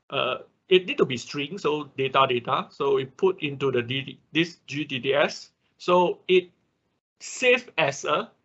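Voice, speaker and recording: A man with a husky voice teaching a live online programming class informally, often repeating words and checking in as he explains. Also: fairly high pitch, a bit bright, muffled recording. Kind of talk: informal live technical tutorial, explaining code.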